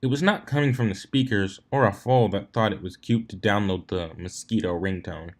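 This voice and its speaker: A man's voice: on the low side, normal speed. Man narrating a story, stumbling now and then. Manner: stumbles over words and rereads them. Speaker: fan fiction reader, narrator.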